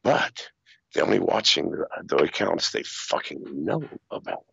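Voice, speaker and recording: An older man talks over a video call from a small foam-lined room, wearing headphones. His voice is very low and heavily gravelly with a husky edge, and his pitch swings widely as he spins profane, animated stories. The sound is dry and dull, like lo-fi call audio. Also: normal speed, dry recording. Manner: profane, animated storytelling with laughs. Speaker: interview guest. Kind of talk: casual interview storytelling. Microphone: video call wearing over-ear headphones.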